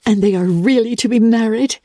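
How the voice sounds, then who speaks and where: A woman voicing a spirited, earnest young gentlewoman in a dramatic reading of a classic novel, with emphatic, formal period speech. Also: a little high, fairly quick, no room sound, studio quality, pitched above the performer's own voice, natural voice. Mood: bitter dismay.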